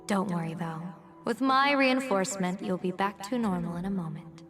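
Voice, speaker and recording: A woman's voice: mid-range voice, normal speed, lightly acted. Woman voicing a composed but playful fortune teller general lady, game dialogue, music behind her. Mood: reassuring. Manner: teasing, composed, theatrical.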